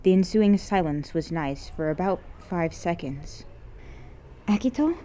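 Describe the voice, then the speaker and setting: A woman's voice: sits low, a little slow, mellow, slight background noise. A woman reading scripted fiction aloud as an amateur narrator. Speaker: amateur podfic reader.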